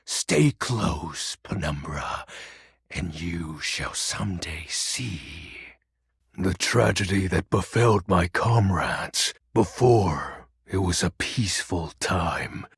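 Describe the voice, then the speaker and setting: An actor voicing a melodramatic, brooding game character, a personification of darkness who talks in pompous, theatrical, archaic phrases with a gravelly, husky voice. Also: male voice, low voice, lively intonation, slow, dry recording, studio quality, heavily acted. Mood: mournful, ominous. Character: melodramatic brooding Lord of Shadows, personified darkness, secretly dorky. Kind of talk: video game character voice acting.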